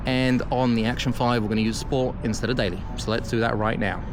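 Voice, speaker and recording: A man's voice: medium pitch, fairly even intonation, a little fast, music in the background. A man casually vlogging as he walks a city street at night, talking in a rambling, chatty way with many fillers. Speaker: tech reviewer vlogger. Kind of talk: casual walking vlog. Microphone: action camera mic while walking.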